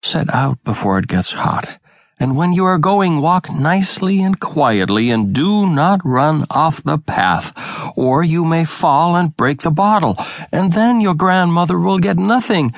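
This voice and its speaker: Man doing a higher-pitched, fussing mother. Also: lively intonation, a little slow, vocal fry, no room sound, heavily acted. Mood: caring, instructing. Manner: fussy, instructing. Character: careful, instructing mother of a little girl.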